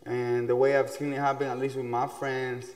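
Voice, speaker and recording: A young man from Ecuador, a musician, chatting in a relaxed, loose way in a small dark room. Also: medium pitch, moderate pace. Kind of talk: casual interview talk. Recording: echoey room with long reverb.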